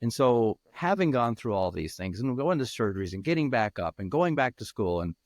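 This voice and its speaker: A man over fifty answering interview questions as a peer mentor, speaking earnestly and haltingly with many restarts. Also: medium pitch, big pitch swings, darkish tone, dry recording, clean studio sound.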